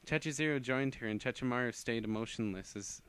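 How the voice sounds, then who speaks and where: A man's voice: medium pitch, brisk, a bit bright, smooth. A man narrating fan fiction as an amateur reader, often stumbling and rereading phrases.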